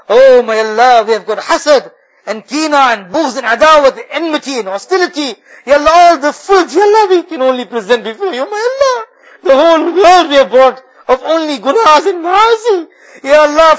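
Man, prayer leader, high voice, tearful, pleading, repetitive, emotional supplication. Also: a bit bright, rough recording. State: tearful, crying. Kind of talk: impassioned supplication prayer, public. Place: mosque.

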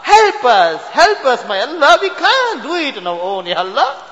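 A man's voice: very high-pitched, wildly swooping pitch, normal speed, bright, forceful tone. A man leading an impassioned, pleading prayer of supplication, his voice breaking with emotion, recorded in a mosque prayer hall. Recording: dull, reverberant hall recording.

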